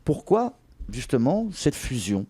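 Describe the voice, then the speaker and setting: A man hosting a local radio interview, speaking in halting phrases with drawn-out pauses. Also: mid-range voice, big pitch swings, mellow, breathy edge. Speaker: radio host interviewing a guest.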